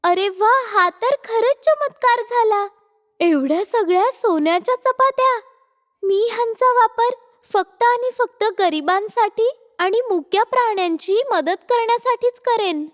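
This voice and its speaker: A woman's voice: extremely high pitch, lively intonation, measured pace, long reverb tail, voice pushed up. A woman voicing a kind, generous young daughter-in-law in a cartoon moral story, earnest and sweet. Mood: amazed, earnest.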